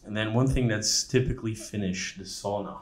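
A young man hosting a podcast interview in a studio, asking short, soft-spoken questions. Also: lowish voice, fairly quick. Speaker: podcast host. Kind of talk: casual interview. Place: studio, indoors. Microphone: studio mic on boom arm.

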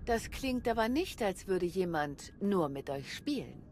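A woman's voice: on the low side, big pitch swings, measured pace, a little mellow, faint music behind. A woman playing a resolute Jedi commander in a German game dub, composed and authoritative.

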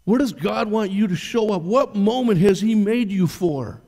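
Older pastor preaching in a reverberant church. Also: male voice, sits high, expressive, moderate pace, creaky, warm tone. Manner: conversational preaching, direct questions to the congregation. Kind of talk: sermon. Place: church stage. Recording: long reverb of a church hall.

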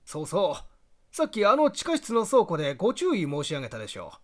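Mystery audiobook role: male reader as a self-assured investigator, high voice with lively swings, laying out facts emphatically and courteously. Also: brisk, full character voice. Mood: grave explaining. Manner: emphatic, expository, polite address. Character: confident male investigator explaining a spy plot to listeners. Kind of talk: audiobook character dialogue.

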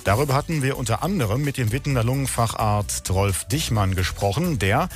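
Guy hosting local radio, mid pitched voice, reading news and service bits, light music under. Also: animated, brisk. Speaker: radio host. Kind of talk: scripted local radio presenting. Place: radio studio.

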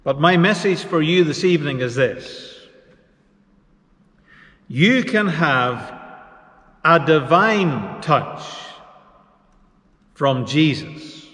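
A man preaching a sermon as pastor, measured and unhurried with pauses between phrases. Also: on the high side, lively intonation, a bit bright. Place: church. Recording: echoey church hall reverb.

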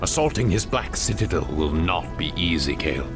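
A man's voice: sits low, lively intonation, normal speed, music in the background. A man voicing a brooding, imperious half-demon warlord in a game cutscene, grandiose and commanding. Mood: grave, resolute. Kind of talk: dramatic video game cutscene acting.

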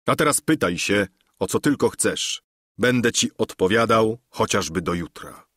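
A man voicing a deluded, solemn old knight-errant who speaks in a grave, lofty, measured way. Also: lowish voice, expressive, a bit bright, no echo, studio quality.

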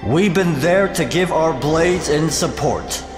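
A brash, high-voiced archer in echo. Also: male voice, slow. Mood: proud confidence. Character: brash, devoted male archer warrior, younger brother-in-arms. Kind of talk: dramatic video game cutscene acting. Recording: echoey hall reverb, music behind.

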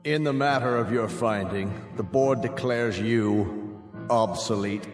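A man's voice: fairly low pitch, measured pace, close to an everyday voice. A male actor plays an officious chancellor in a radio drama. His formal, pronouncing voice rings through a long, echoey hall, with faint music underneath. He sounds cold and procedural. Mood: stern. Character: cold, officious state chancellor passing sentence, man.

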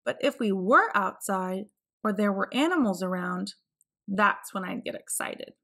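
A woman reading a picture book aloud for children, with clear, expressive phrasing. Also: medium pitch, unhurried. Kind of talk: read-aloud storybook narration.

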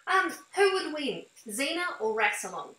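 Bright-voiced woman interviewer. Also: high-pitched, big pitch swings, normal speed. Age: middle-aged. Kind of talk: conversational interview. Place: indoor home room. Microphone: computer mic on a video call. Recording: echoey room on video call.

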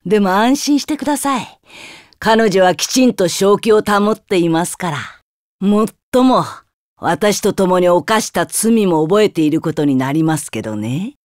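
An actor playing a smug demon schemer in an anime game, with a sneering, theatrical delivery. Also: male voice, sits high, expressive, unhurried, no room sound, clean studio sound. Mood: smug mockery. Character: smug, mockingly polite male demon schemer.

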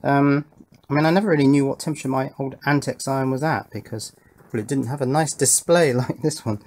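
A man's voice: medium pitch, fairly quick, darkish tone. A man hosting an electronics build video, giving casual hands-on commentary and thinking aloud as he works. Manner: thinking aloud while working. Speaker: host of an electronics build channel. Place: indoor workbench.

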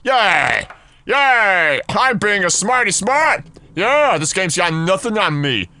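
A man commentating on a horror game as he plays, jokey and excitable, his voice husky and deeper than usual from being sick. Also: takes it slowly, no room sound. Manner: jokey, excitable, yelps and giggles. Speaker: gaming YouTuber.

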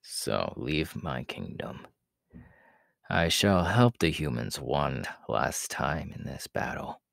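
A man voicing a menacing, haughty demon king in an ASMR audio roleplay, slow and imperious, in a gravelly, breathy half-whisper. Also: extremely low pitch, big pitch swings, a bit bright, no room sound, clean studio sound, exaggerated voice. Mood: commanding. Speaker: voice actor.

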